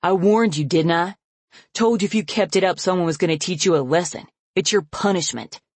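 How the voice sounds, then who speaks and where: A woman's voice: medium pitch, moderate pace, no echo, voice pushed up, lightly acted. A woman voicing a furious, abusive middle-aged father in a story reading, speaking in a snarling, menacing way. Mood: menacing, angry.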